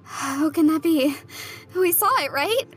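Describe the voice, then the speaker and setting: A woman's voice: high voice, measured pace, soft background music. An actress playing a nervous young woman in a horror audio drama, a loyal friend who talks in questions. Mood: shaken.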